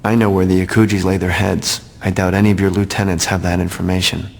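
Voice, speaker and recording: A man's voice: low-pitched, little pitch movement, fast talker, gravelly, big-room sound. An actor playing a smug corporate man in a video game, bargaining with dry, polite, unruffled sarcasm. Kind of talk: video game cutscene acting.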